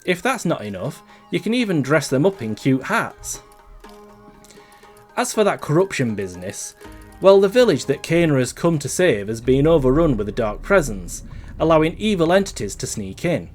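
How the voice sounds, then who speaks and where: A man reading a scripted video game review in a measured, articulate way, as a reviewer would. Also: fairly high pitch, sing-song, a little mellow. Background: background music.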